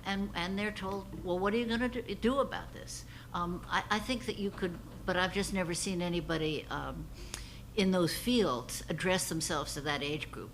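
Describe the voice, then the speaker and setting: A woman with a somewhat low voice speaks in a thoughtful, hesitant way, with frequent pauses and the odd throat clearing. Faint background noise is heard behind her. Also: fairly bright tone, low-quality recording.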